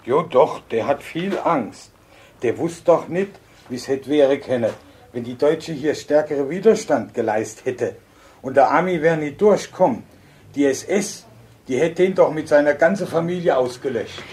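A man's voice: on the high side, lively intonation, unhurried, a little mellow. An actor playing an older village man, an earthy former deserter recounting his memories colloquially in a naturalistic radio drama. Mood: matter-of-fact recollection. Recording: noisy location-style radio recording.

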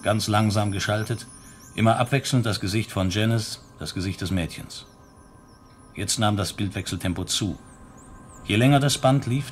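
A man's voice: fairly low pitch, close to an everyday voice. A man narrating a science-fiction detective radio play in a sober, detached, descriptive way.

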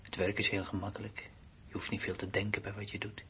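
An actor playing a blunt, uneasy soldier in a Dutch radio drama, with plain, colloquial, matter-of-fact delivery. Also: male voice, on the low side, monotone, fast talker, a bit bright, natural voice. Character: blunt, uneasy male soldier left behind at his post. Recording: noisy old radio play recording.

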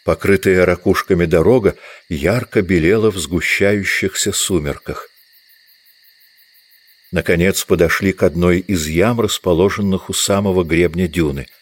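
A man narrating a literary audio drama as a measured storyteller, reading from a script. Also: low-pitched.

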